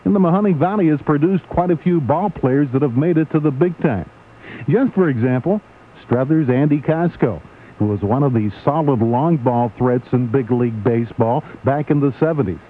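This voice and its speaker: A tinny AM radio recording with a faint hum carries a man's mid-pitched voice. He reads sports commentary in a measured, emphatic announcer style.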